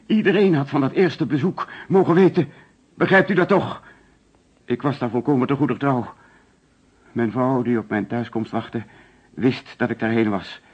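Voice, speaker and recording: A man's voice: mid-range voice, darkish tone, breathy edge, lightly acted. An actor playing an anxious, defensive insurance agent under suspicion in a radio play, hesitant and flustered as he protests. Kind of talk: Dutch radio play acting. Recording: dull, lo-fi old radio recording.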